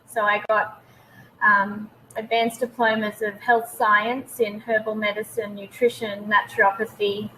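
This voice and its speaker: Bright-voiced Australian woman in her thirties. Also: medium pitch, little pitch movement, unhurried, slight background noise, low-quality recording. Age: 30s. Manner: hesitant, many ums. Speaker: guest naturopath. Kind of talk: casual webinar interview.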